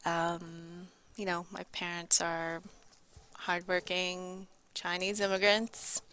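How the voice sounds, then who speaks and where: A woman in her thirties from Canada, a guest in a casual interview, speaking in a relaxed way with many fillers. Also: lowish voice, slow pace.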